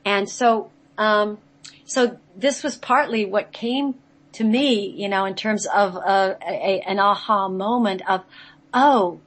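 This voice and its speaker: A woman with a medium-pitched voice speaks as a radio show guest. She explains things conversationally and earnestly, often in halting phrases, pausing to spell a word out.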